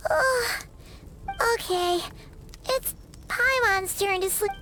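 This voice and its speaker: An extremely high, squeaky little fairy companion from a video game, excitable and cutesy. The childlike voice swings wildly up and down and takes its time over words, with clear noise in the recording. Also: female voice, slow, heavily acted. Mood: sleepy, grumbling. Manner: exclamatory, cutesy, refers to self in third person.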